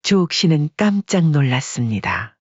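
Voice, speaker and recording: Story narrator, male, husky voice, mid pitch, dry close sound. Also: normal speed, no echo. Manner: steady storytelling. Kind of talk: scripted audiobook narration. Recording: dry, dull lo-fi recording.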